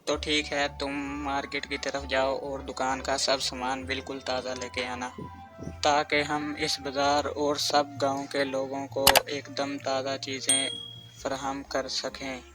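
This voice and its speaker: Earnest young sweet-shop brother for a cartoon tale, voiced by a man, plain explanatory delivery, average pitch. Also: monotone, a little slow, lightly acted. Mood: earnest, brotherly. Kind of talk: scripted cartoon dialogue. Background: music.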